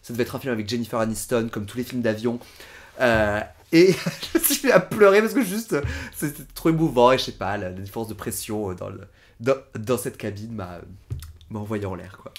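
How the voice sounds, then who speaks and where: A man in his thirties co-hosting a podcast in a casual chat, playful, asking quick questions and making puns. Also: mid-range voice. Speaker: podcast co-host.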